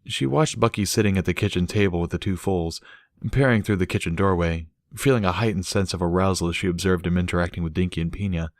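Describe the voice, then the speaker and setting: A man's voice: low-pitched, quick pace, no room sound, clean studio sound. A man reading scripted fiction as a storybook narrator.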